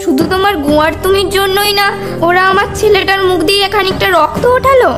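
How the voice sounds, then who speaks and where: Village wife for horror cartoon, female actor: piercingly high, reproachful, insistent. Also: fast talker, loud music behind the voice. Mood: anguished, scolding. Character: anguished, scolding village wife and mother. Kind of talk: Bengali animated horror story acting.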